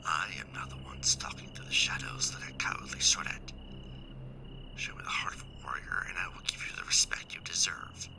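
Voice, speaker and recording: A man playing a proud, bitter stranded mech warrior in an ASMR sci-fi roleplay, speaking in a hushed, solemn, formal way. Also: sits low, a little fast, creaky, poor audio. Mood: proud, defiant.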